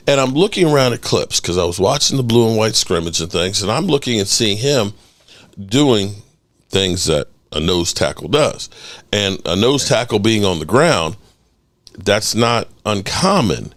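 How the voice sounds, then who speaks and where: A middle-aged man hosting a sports call-in show, animated and joking, swearing freely. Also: lowish voice, dry recording. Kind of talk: casual call-in show talk.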